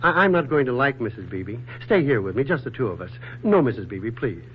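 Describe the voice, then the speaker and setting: An actor playing a groggy, hungover, flirtatious wisecracker with a hesitant, pleading manner in 1940s radio style. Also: male voice, medium pitch, big pitch swings, fairly quick, close to an everyday voice. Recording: tinny, noisy 1940s radio recording.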